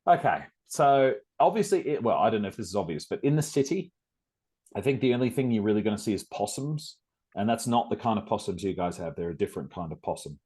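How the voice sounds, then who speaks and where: A man's voice: on the low side, very animated, fast talker, darkish tone, slightly rough. A man from South Australia with an Australian accent, hosting a casual interview and chatting in a rambling way with many fillers. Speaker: podcast host.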